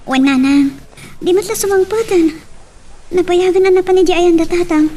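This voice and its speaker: Woman acting a high-voiced, concerned mother. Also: normal speed, warm tone, slight background noise. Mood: worried. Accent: Ilocano Filipino. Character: mayor's wife, a concerned, proper mother. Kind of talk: Ilocano radio drama acting. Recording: slightly distant microphone.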